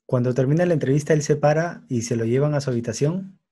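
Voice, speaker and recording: A man with a mellow, medium-pitched voice. He works as an interviewer, putting questions conversationally and in a measured, slightly halting way.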